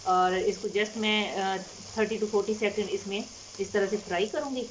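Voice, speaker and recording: A woman cooking-channel host explaining a home recipe step by step in a calm, unhurried way with frequent fillers. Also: medium pitch, dull audio, background noise. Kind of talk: recipe instruction over cooking footage. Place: home kitchen.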